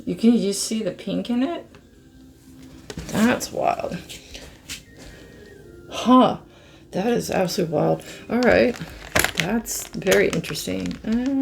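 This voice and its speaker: A middle-aged woman with a hoarse, scratchy voice, chatting slowly to viewers as she reviews art pens and marvels at their colors. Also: medium pitch. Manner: slow, musing, easily amazed, talks to viewers. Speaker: craft channel host. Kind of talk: casual product review chat.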